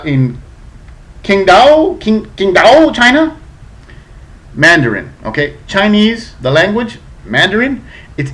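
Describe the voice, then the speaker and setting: A man's voice: a little high, fairly bright tone. A man in his thirties from South Florida, a little drunk, casually reviewing a beer on his webcam in a chatty, profane way. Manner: chatty, profane, a bit tipsy. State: slightly drunk.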